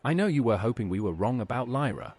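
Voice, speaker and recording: A man's voice: medium pitch, big pitch swings, fast, a little mellow. A male voice playing an inquisitive, loyal assassin hero in scripted fantasy game dialogue. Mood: earnest.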